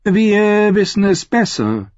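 Man acting a politely sinister torturer, raised pitch. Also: big pitch swings, slow, darkish tone, no echo, muffled recording, full character voice. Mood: falsely kind. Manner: courteous, concerned, menacing. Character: softly polite, sinister male torturer lord.

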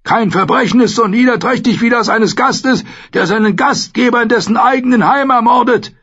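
A man's voice: lowish voice, moderate pace, gravelly, fairly bright tone, breathy edge, lo-fi sound, heavily acted. A man voicing an old, stern military commander in an audiobook, commanding and indignant. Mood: outraged. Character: old, stern, authoritative male military commander, quick to anger.

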